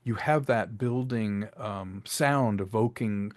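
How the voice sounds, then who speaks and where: A man's voice: lowish voice, takes it slowly, no room sound. A man talking casually as a director in an interview, explaining his sound work eagerly with frequent restarts. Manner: enthusiastic, explains with many restarts and voiced asides.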